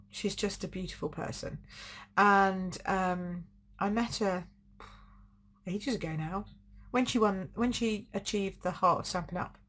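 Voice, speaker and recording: A slightly croaky woman, gushing chattily over craft supplies on a home live stream. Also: on the low side. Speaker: card-making demonstrator hosting a live stream. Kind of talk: casual live-stream show and tell.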